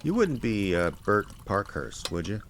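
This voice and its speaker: An actor playing a laconic small-town private investigator, narrating his case in a deadpan, understated radio drama style. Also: lowish voice, unhurried, a little hiss or hum, full character voice. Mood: quiet, probing. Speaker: narrator and lead character.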